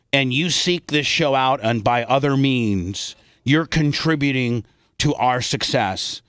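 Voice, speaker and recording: A man's voice: mid-range voice, fairly bright tone, dry recording, clean studio sound. A man hosting a radio show with unscripted talk, blunt and salty in the way he speaks.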